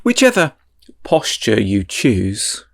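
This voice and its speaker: A man leading a guided meditation in a slow, soothing, drawn-out way. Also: fairly low pitch, wildly swooping pitch, gravelly.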